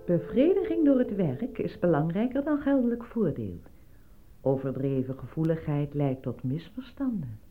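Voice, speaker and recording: Een actrice die in een hoorspel een omroepster speelt die formeel en weloverwogen berichten voorleest. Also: female voice, low-pitched, big pitch swings. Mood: formal. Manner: deliberate, formal announcing. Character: measured female announcer reading slogans and lonely-hearts ads. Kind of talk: scripted announcement in a radio play. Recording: dry, narrow phone-like old recording.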